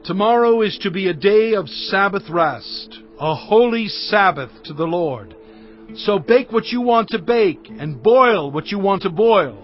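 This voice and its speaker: Moses, emphatic and stern. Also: male voice, sits high, expressive, a little slow. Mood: earnest. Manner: earnest, emphatic preaching delivery. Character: Moses, stern leader of his people. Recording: dull audio with faint music behind.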